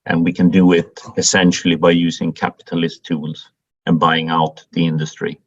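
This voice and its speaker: A man who grew up in Sweden, introducing himself in a measured way on an online meeting. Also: deep voice, monotone, breathy edge. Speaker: meeting host. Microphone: video call. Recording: dull lo-fi video call audio.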